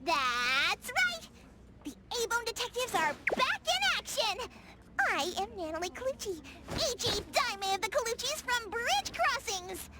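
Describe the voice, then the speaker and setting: Very high-pitched excitable girl detective. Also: unhurried, heavy background noise, full character voice. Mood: proud, excited. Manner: exuberant, declamatory. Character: excitable boastful young girl anomaly detective, bubbly and theatrical. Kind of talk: anime-style game voice acting. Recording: music behind the voice.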